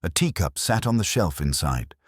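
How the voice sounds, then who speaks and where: Very deep, husky world-weary detective played by a man. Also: big pitch swings, no echo, studio quality. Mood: quiet, watchful. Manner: measured, wry, reflective. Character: melancholy, world-weary older male Oxford police detective narrating. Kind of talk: scripted first-person audio mystery narration.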